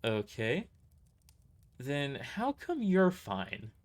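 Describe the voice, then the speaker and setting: A man's voice: medium pitch, huge pitch swings, drawn-out words, pitched above the performer's own voice, heavily acted. A man voicing an earnest female soldier in an audio drama, speaking in a hesitant, polite and sincere way. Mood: curious.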